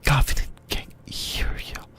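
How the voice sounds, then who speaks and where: A person whispering breathily in a halting ASMR explainer about anime lore, often repeating phrases. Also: fairly bright tone. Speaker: YouTuber, ASMR host.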